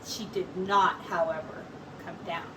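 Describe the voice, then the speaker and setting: A woman teacher reading a picture book aloud in slow, expressive storytelling. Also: on the low side, distant mic, noisy background.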